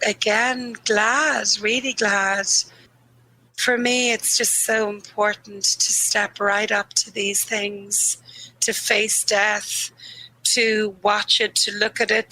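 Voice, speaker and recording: A woman grief therapist speaking earnestly and candidly in a podcast interview, a little croaky, slowing down on the points that matter to her. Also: fairly high pitch, bright, forceful tone, poor audio. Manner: earnest, candid, laughs easily, slows on key phrases. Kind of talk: reflective conversational interview.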